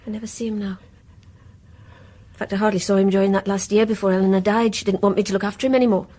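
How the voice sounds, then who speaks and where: An actress plays a lonely British wife in a radio play, dreamy and troubled. Her voice is a little husky, and she confides in a wistful, sighing way. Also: mid-range voice, fairly even intonation, fast, warm tone, close to an everyday voice. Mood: guarded, hurt. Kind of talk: radio drama acting.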